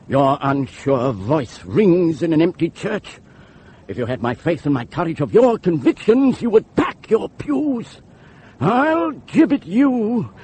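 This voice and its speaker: An actor playing a fiery, defiant pamphleteer, declaiming with scorn and suspicion in a theatrical drama style. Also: male voice, high-pitched, slight background noise, exaggerated voice. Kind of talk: theatrical dramatic monologue and dialogue.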